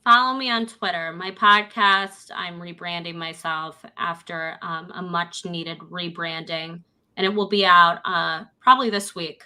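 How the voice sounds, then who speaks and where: A woman's voice: fairly low pitch, fairly even intonation, unhurried, pushed and bright, smooth. A woman with a New York accent, a guest on a podcast, talking bluntly in casual chat and repeating her points. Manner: blunt, declarative, repeats herself.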